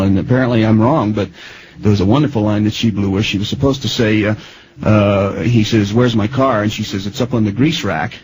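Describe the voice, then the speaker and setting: A man's voice: fairly low pitch, a little mellow, low-quality recording. A man chatting casually as the interviewer on a radio show.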